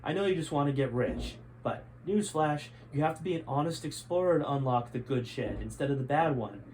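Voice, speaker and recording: A man reads as a smug adventure-story explorer. He is sarcastic and challenging, in a mid-pitched voice over faint background noise. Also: expressive, brisk, a little mellow, natural voice, low-quality recording. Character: smug, probing male explorer and father.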